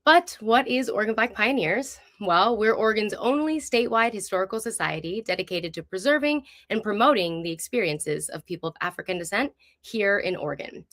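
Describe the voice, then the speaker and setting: A woman's voice: mid-range voice, expressive, a little fast, few pauses, a bit bright. Upbeat woman hosting a live show. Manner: upbeat, enthusiastic. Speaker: host. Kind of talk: friendly live online show presenting and interviewing.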